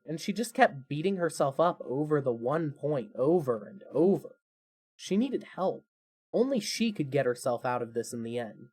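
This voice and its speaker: A narrator reading a scripted fan fiction podcast in an expressive, measured storytelling manner. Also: mid-range voice.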